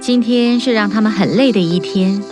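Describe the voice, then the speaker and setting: Female storyteller, medium pitch, scripted children's narration, clear music bed. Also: normal speed.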